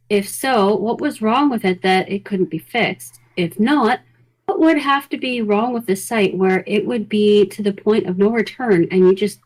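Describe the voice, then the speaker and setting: A woman's voice: medium pitch, low-quality recording. A woman co-hosting a casual podcast, putting questions and giving short listening responses. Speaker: co-host asking questions.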